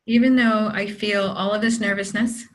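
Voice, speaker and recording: A woman practitioner guiding a client through an EFT tapping session, giving calm phrases for her to repeat. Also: mid-range voice, normal speed.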